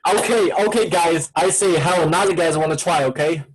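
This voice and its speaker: A man's voice: fairly high pitch, a little fast, breathy edge, low-quality recording. A man with a Chinese accent, a native Chinese speaker teaching an online class and coaxing students with repetitive prompts in broken English.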